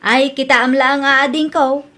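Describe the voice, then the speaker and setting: A woman's voice: sits high, steady tone, moderate pace, pushed and bright. A woman with an Ilocano accent playing a proud, disapproving daughter who lectures others in an audio drama.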